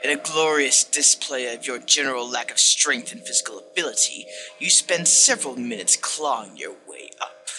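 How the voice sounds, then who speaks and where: A man's voice: medium pitch, bright, forceful tone. Man voicing a contemptuous, unstable game narrator over music. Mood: cold, contemptuous. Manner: dramatic, disdainful, deliberate second-person address. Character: hateful, condescending, unstable machine-like narrator tormenting the player. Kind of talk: dramatic reading of a text game.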